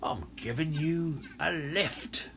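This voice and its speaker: A man's voice: on the high side, slow, gravelly, a bit bright, slight background noise, full character voice. A man voicing a big bull shark in a children's storybook, a reformed bully with a theatrical, imploring delivery. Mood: friendly. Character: big male bull shark, nasty bully turned contrite.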